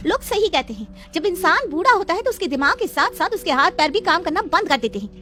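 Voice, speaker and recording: A woman voicing a vain, spoiled young wife in a moral story, haughty, sharp-tongued and theatrical. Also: very high voice, sing-song, fast talker, full character voice. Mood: bossy, scornful.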